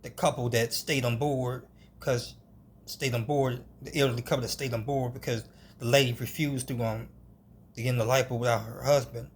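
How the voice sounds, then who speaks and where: A man's voice: medium pitch, steady tone, slight background noise. Man, amateur film reviewer; hesitant, rambling delivery, restarts often, middle-range voice. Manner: halting, with frequent restarts and hedging.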